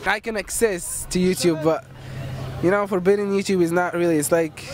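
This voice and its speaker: A man's voice: sits high, fairly even intonation, moderate pace, soft background music. A young man from Morocco, a student, giving rambling, hedging street interview answers outdoors.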